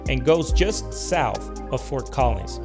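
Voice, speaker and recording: A man's voice: medium pitch, lively intonation, takes it slowly. A man narrating an explanatory aviation video as the host, addressing viewers directly. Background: music.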